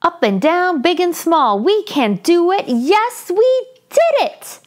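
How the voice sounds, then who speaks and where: A woman's voice: very high voice, very animated, slow, soft background music, pitched above the performer's own voice, exaggerated voice. A woman voicing tiny, spirited storybook ants with an emphatic, sing-song delivery. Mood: cheerful chant.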